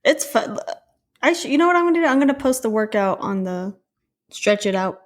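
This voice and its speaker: A woman's voice: fairly high pitch, animated, fairly quick. Playful woman chatting casually. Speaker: podcast co-host. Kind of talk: casual chat.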